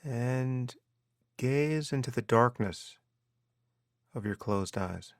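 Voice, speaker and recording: A man guiding a meditation as a teacher, giving measured instructions with unhurried pauses. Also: mid-range voice, animated, no room sound, studio quality. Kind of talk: guided meditation narration.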